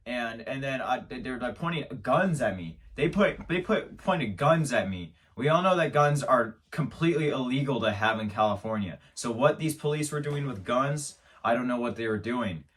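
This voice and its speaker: A man's voice: mid-range voice, expressive, moderate pace, few pauses, a bit bright, recorded from a distance. A man hosting his own show, telling an absurd comic story in a rambling, mock-serious way, stumbling over restarts. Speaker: show host. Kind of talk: absurd comedic monologue.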